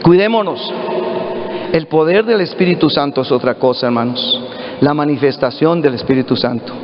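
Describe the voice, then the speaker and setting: A man's voice: a little high, normal speed. A middle-aged man with a Costa Rican accent, preaching a sermon earnestly to his congregation. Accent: Costa Rican Spanish. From Costa Rica. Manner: earnest preaching, addresses listeners as brothers. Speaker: pastor. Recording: echoey hall, dull lo-fi audio.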